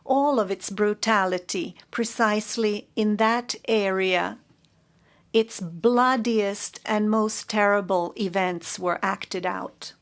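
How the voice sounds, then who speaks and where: A woman interpreting a formal courtroom statement into English, measured and halting, correcting herself as she goes. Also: mid-range voice.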